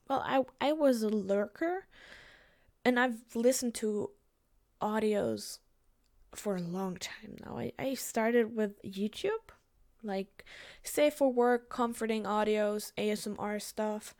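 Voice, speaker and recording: A woman's voice: mid-range voice, darkish tone. German woman with a lisp, chatty. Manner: hesitant, giggly, lots of fillers. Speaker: audio creator answering listener questions. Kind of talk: casual chat.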